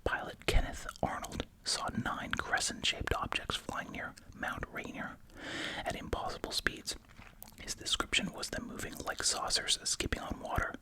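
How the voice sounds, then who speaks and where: Asmr host whispering the whole time, soft n breathy, chewing gum, kinda musing out loud. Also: moderate pace, rough recording. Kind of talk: whispered ASMR, casual commentary and reading.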